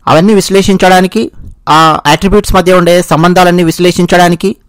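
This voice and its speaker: A man's voice: high voice, fairly even intonation, quick pace, no echo. A man with a Telugu accent giving explanatory news commentary, restating and rephrasing his points as he goes.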